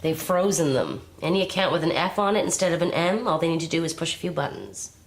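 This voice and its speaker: A woman's voice: low voice, expressive, fast, a little hiss or hum. An actress playing a cynical, unsurprised woman friend who talks in a dry, matter-of-fact way. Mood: grim. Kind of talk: radio drama.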